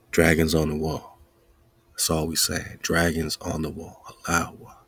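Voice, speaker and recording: A man's voice: extremely low pitch, completely flat delivery, normal speed, a bit bright, husky. A man hosting a podcast, giving a spiritual teaching talk in a preachy way, repeating and chanting lists and laughing between points. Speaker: podcast host.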